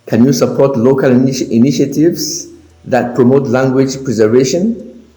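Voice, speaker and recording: A man giving a measured, earnest prepared speech. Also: mid-range voice, mellow. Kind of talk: prepared speech on language diversity. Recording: echoey room with faint music.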